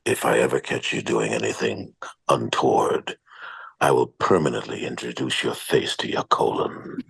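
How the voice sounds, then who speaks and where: Gravelly, grim tough soldier. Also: male voice, very deep voice, fairly even intonation, normal speed, fairly bright tone, full character voice, rough recording. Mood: grim, threatening.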